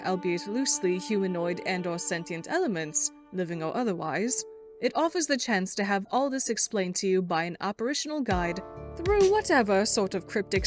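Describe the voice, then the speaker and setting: A wry, bookish girl played by a woman with a medium-pitched voice. She delivers a verbose fandub monologue, deadpan and articulate, with faint music behind her. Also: close to an everyday voice. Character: dry, erudite, sarcastic girl writing a game walkthrough.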